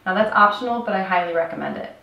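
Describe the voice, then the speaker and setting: A cheerful woman in her thirties walks the listener through a recipe, speaking straight to them like a coach. Her voice sits at an ordinary middle pitch and is picked up from a few steps away, so the kitchen's hard walls add a roomy echo. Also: expressive, fast, creaky, recorded from a distance, lo-fi sound. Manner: upbeat, instructional, addresses viewer directly. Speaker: health coach. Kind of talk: cooking demonstration. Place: home kitchen, indoors. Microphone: camera mic at a distance.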